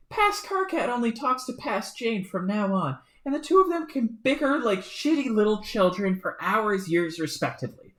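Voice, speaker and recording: A woman voicing a loud, angry young male troll who rants and swears. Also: mid-range voice, lightly acted. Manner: shouting, ranting, swearing. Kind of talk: fan reading of a webcomic. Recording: roomy echo.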